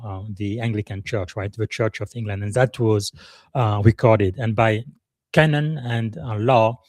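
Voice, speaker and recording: A fairly low-voiced man speaks in a live podcast monologue. His delivery is earnest and emphatic, and he often pauses mid-phrase with "uh" as he gathers his thoughts. The sound is close and dry, with no room echo. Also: a little mellow. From Republic of the Congo. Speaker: podcast host and leadership coach.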